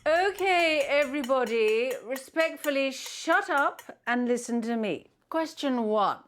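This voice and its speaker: A woman's voice: sits high, expressive, a little slow, fairly bright tone. An actress playing a bitter, theatrical middle-aged mother who speaks with pompous sarcasm in a film drama. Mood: bitter, commanding. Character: bitter, theatrical mother hosting a hostile family quiz. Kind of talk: acted film dialogue.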